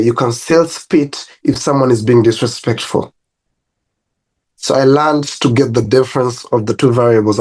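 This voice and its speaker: A young Ugandan man with a Ugandan accent, a personal trainer chatting easily as an interview guest, laughing between stories. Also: medium pitch. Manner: relaxed storytelling with laughs and restarts. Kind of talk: casual interview.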